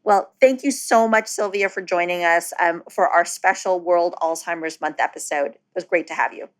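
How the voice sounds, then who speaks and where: A woman's voice: fairly low pitch, moderate pace. A woman co-hosting a podcast, asking friendly and playful questions of her guest. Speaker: podcast co-host. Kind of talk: casual podcast interview.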